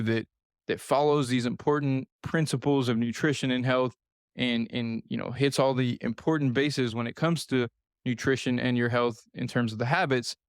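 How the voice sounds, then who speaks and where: A man's voice: medium pitch, smooth, dry recording, clean studio sound. A man in his thirties hosting a solo nutrition podcast, talking straight to the listener in an earnest, repetitive coaching manner. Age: 30s. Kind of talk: solo podcast advice talk.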